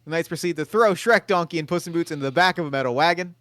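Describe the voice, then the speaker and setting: Man quietly reading stage directions. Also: on the high side, rapid-fire, no room sound, clean studio sound.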